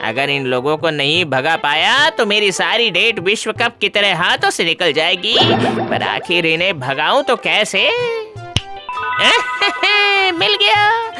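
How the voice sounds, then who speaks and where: A woman voicing a mischievous, lovestruck, boastful male ghost in an animated comedy, with a theatrical, giggly, exclamatory delivery. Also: mid-range voice, takes it slowly. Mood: excited, scheming. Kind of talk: animated comedy cartoon acting. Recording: loud music behind the voice.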